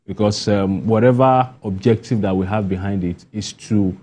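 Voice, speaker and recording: Husky, mellow-voiced Nigerian man. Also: on the low side, moderate pace. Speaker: interview guest, playwright. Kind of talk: spontaneous broadcast interview answers.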